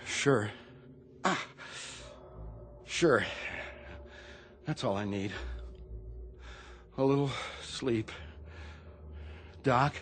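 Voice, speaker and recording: An American man acting in a radio drama as a troubled, dazed navy chief who speaks haltingly and uneasily. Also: medium pitch. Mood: dazed, uneasy. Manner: halting, faltering.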